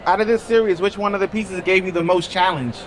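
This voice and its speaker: A man interviewing an artist at an outdoor art fair, asking brisk, friendly questions. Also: sits high, fairly even intonation. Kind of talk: casual interview.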